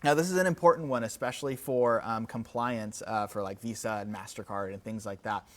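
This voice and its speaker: A man's voice: fairly low pitch, fairly even intonation, fairly quick, fairly bright tone. A man hosting a product update livestream in a conversational, off-the-cuff way, often repeating words as he goes. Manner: conversational, off-the-cuff, hesitant repetitions. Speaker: company product presenter and host.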